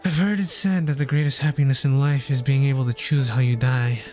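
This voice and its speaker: A man's voice: medium pitch, fairly even intonation, brisk, darkish tone. A man streaming a game, reading its story text aloud earnestly and now and then stumbling over words. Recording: game music behind the voice. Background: game music.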